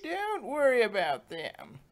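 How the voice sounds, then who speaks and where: A man's voice: very high voice, wildly swooping pitch, takes it slowly, voice pushed up, heavily acted. A man voicing a kindly, protective grandmother pony in a story reading, sorrowful but firm. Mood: smug, reassuring.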